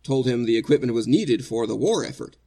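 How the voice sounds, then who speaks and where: An actor playing an anguished innocent man facing execution in a 1940s-style radio drama, earnest and halting, stammering with emotion. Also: mid-range voice, animated, vocal fry, dark tone, slightly rough. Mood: earnest, uneasy.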